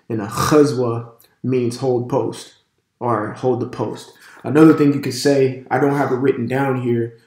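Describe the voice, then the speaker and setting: A man with a stuffy nose from a cold, giving a casual video lesson as a religious language teacher, talking loosely with many fillers and restarts. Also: mid-range voice. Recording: echoey room.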